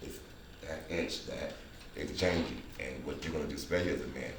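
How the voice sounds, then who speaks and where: Very deep-voiced man in an echoey room. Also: a little fast. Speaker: filmmaker leading a table read discussion. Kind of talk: casual group discussion. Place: indoor room at a table read. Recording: echoey room, distant mic, noisy background.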